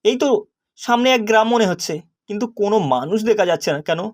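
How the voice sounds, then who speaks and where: A man plays a discontented young fisherman in a cartoon fable. His voice sits high for a male. He sounds naive and earnest, with a plaintive way of talking. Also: animated, quick pace. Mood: bewildered. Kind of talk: animated story character acting.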